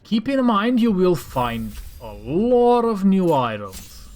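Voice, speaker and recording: Animated man commenting on gameplay. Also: sits high, takes it slowly. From Romania. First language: Romanian. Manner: thinks aloud, trails off and restarts, breaks into exasperated rants. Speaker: gaming channel host. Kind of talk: casual gameplay commentary while showing a mod.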